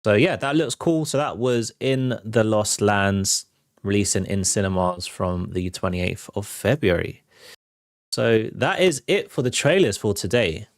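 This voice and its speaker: A man's voice: lowish voice, a little slow, no room sound, clean studio sound. A man hosting a film show, giving casual, off-the-cuff commentary on trailers. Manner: informal, off-the-cuff.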